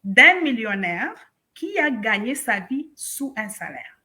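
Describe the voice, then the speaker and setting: A woman's voice: fairly high pitch, sing-song, slow pace, a crowd in the background. A woman from Congo, a business coach speaking emphatically and persuasively in a casual interview. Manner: emphatic, persuasive, rhetorical questions, mixes in English phrases.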